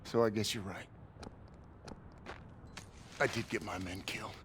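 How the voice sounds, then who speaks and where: An actor playing a hardened, embittered American World War II sergeant in a video game, gruff and commanding. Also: male voice, fairly low pitch, fairly even intonation, a little slow, a bit bright, a little hiss or hum. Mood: bitter, defeated. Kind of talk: dramatic video game cutscene acting.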